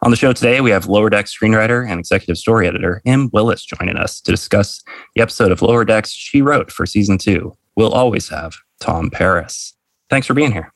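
A man hosting a podcast, reading a measured, articulate introduction and then interviewing a guest. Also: on the low side, vocal fry, dry recording. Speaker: podcast host.

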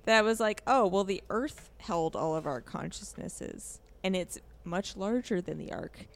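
A woman's voice: mid-range voice, sing-song, measured pace, gravelly. A woman co-hosting a podcast, casually discussing a video game in an informal, hesitant way as she thinks aloud. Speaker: podcast co-host.

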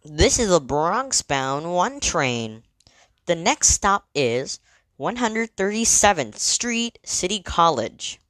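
Low-voiced woman making measured train announcements. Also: sing-song, a bit bright, dry recording. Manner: measured, clearly segmented, formal. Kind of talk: scripted transit announcements.

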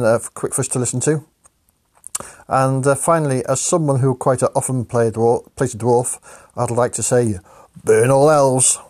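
A man's voice: mid-range voice, darkish tone. Guy leaving a podcast voice message, lots of uhs, kinda hesitant. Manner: hesitant, many uh fillers. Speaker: caller. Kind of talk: recorded voice message.

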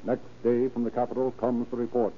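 A man's voice: medium pitch, completely flat delivery, a little fast, husky, narrow, tinny audio, natural voice. A man narrating an old radio crime drama in a scripted, period style. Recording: old radio recording, music behind.

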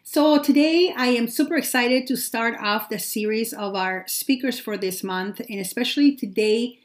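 Woman hosting her podcast, upbeat intro vibe, kinda high voice. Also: moderate pace. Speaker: podcast host. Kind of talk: podcast interview.

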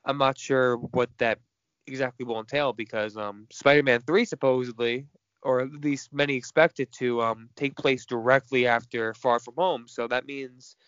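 A man's voice: mid-range voice, steady tone, normal speed, a bit bright, clean tone, no room sound, dull audio. Stuffy-nosed man chatting about movies. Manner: chatty, frank, laughs between points. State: stuffy nose. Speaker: podcast co-host. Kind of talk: casual movie news chat.

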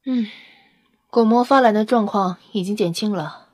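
A woman's voice: medium pitch, moderate pace, no room sound, lightly acted. Woman as nosy, close-miked vampire scientist. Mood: clinical concern. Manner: inquisitive, blunt, matter-of-fact. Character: nosy, clinical vampire-race scientist Lanka, coolly curious. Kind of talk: audio drama acting.

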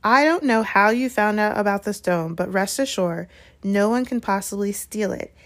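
A woman's voice: mid-range voice, moderate pace, a bit bright, natural voice. A woman voicing a stern, proper older female professor with a clipped, formal manner, in a storybook reading. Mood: firm, guarded.

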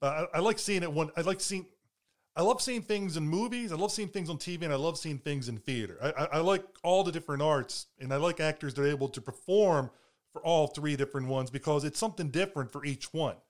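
Man, interviewer, quick talker, fairly high voice, repeats himself and restarts. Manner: rambling, repeats words and restarts. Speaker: interviewer host. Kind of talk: casual interview chat.

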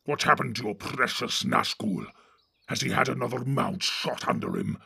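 A man voicing a brutal, boastful orc warlord in an audiobook, barking contemptuous orders in a gravelly voice. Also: mid-range voice, normal speed, pushed and bright, no room sound, studio quality, exaggerated voice. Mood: contemptuous commanding. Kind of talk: audiobook reading.

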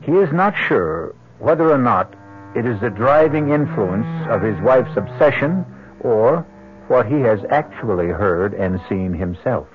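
A man's voice: mid-range voice, expressive, natural voice. Man as grave radio mystery narrator over music. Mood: grave, ominous. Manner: dramatic, deliberate, portentous. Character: grave, ominous narrator of a suspense mystery tale. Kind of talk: old-time radio scripted narration. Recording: tinny vintage radio, music behind.

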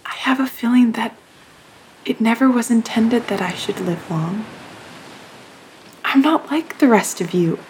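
A young woman playing a gentle, gravely ill nineteen-year-old sister in a period audio drama, soft-spoken, halting and earnest in her resignation. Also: on the high side, slight background noise, poor audio. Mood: resigned, wistful.

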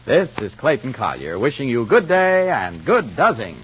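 A man's voice: mid-range voice, huge pitch swings, moderate pace, slight background noise. A man announcing on old-time radio with a polished, emphatic sales delivery. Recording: muffled old radio broadcast recording.

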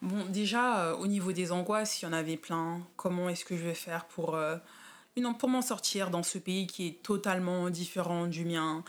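A woman from the Paris suburbs in her thirties, talking casually as an interview guest with frequent pauses and restarts. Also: on the low side, fairly even intonation. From France, Paris suburbs. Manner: thoughtful, many fillers and restarts.

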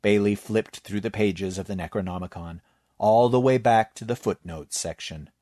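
Man with a steady, dry reading voice. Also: on the low side, normal speed, no room sound. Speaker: story reader. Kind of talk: scripted narration.

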